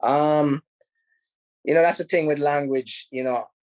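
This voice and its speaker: A man with a Jamaican accent, a film director answering interview questions in a relaxed, rambling way, full of "you know"s. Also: on the high side, unhurried, no echo. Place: home in Los Angeles.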